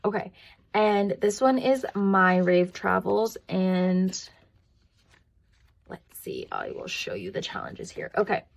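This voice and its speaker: A woman vlogger talking casually and thinking aloud as she works, her voice croaky and tired from long talking. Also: medium pitch, lively intonation, slow. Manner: rambling, thinking aloud, counting to herself. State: voice tiring after long filming. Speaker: budgeting vlogger. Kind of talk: casual chatty hands-on narration.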